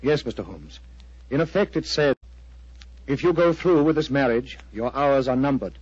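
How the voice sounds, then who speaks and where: An intense clergyman in a vintage radio drama. He sounds husky, earnest and formal, with grave, halting phrases, on a muffled, close and dry old recording. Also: medium pitch, expressive, darkish tone, no echo. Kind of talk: 1940s radio drama acting.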